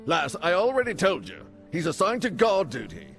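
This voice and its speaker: A man voicing a gruff but kindly town guard sergeant in a video game. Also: sits high, huge pitch swings, normal speed, a bit bright, faint music behind, heavily acted. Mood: reassuring, conspiratorial. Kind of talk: video game cutscene acting.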